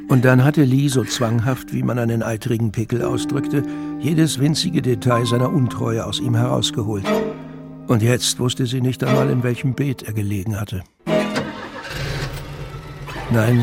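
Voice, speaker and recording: A man's voice: medium pitch, vocal fry. A man narrating a scripted audio drama in German as the storyteller. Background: music behind the voice.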